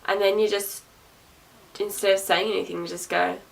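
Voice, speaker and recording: A young adult woman talks casually to camera in a loud, projecting voice. She is sarcastic and chatty, repeats herself and laughs often. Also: mid-range voice, flat delivery, moderate pace, vocal fry. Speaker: vlogger. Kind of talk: rambling casual talk to camera. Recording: voice a little distant from the mic.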